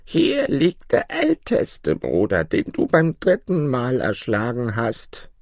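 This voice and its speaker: A man voicing a sinister, ancient forest witch mother from a fairy tale, slow, cold and ominous. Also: medium pitch, huge pitch swings, vocal fry, a little mellow, no room sound, clean studio sound, pitched above the performer's own voice, full character voice. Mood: cold, threatening.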